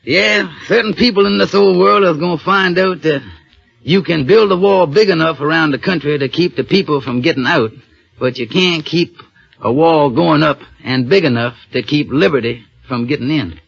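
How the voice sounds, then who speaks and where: Gentle folksy dad, high gravelly voice, earnest, dull old 1940s radio recording. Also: male voice, normal speed, heavily acted. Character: patient, gentle, earnest father, working man. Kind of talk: 1940s radio drama acting.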